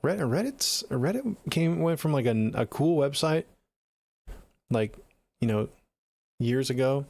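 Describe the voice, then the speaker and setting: A man's voice: mid-range voice, lively intonation, a little slow, gravelly, pauses often, a little mellow, no room sound. A middle-aged man with an American accent chatting casually on a livestream in a laid-back, slangy way, close to the mic. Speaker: music production livestreamer. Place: small home studio room, indoors.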